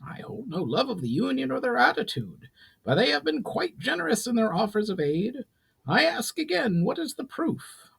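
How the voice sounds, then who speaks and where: A man's voice: very high-pitched, wildly swooping pitch, moderate pace, creaky, a bit bright, breathy edge, pitched above the performer's own voice, exaggerated voice, rough recording. A man voicing a suspicious, proud goblin leader in formal, challenging speech for a fantasy story. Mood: skeptical.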